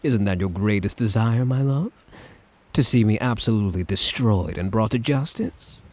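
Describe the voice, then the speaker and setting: A man playing a smug, obsessive dictator in an ASMR roleplay, theatrical and drawling, with condescending, menacing affection and a husky voice. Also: lowish voice, moderate pace, a little mellow, close to an everyday voice. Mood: coaxing, possessive. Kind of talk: ASMR roleplay, dramatic monologue to listener.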